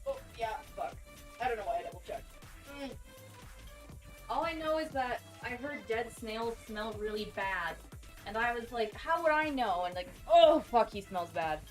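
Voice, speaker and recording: A woman's voice: a little high, lively intonation, normal speed, soft background music. A young adult woman chatting casually and informally, swearing freely. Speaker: companion on a friend's livestream. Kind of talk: casual chat.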